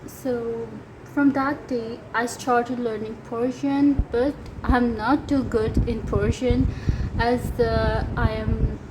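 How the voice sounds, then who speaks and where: Pakistani woman with a high voice, Urdu native, teaching casually and pausing on fillers, with faint noise behind. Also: level tone. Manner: hesitant, many fillers. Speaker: language teacher. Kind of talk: casual language lesson.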